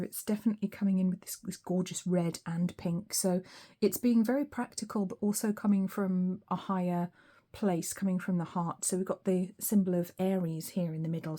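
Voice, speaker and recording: A woman reading tarot cards in a relaxed solo session, her voice a little weak and hoarse, musing aloud with frequent ums. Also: fairly low pitch. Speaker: tarot reader.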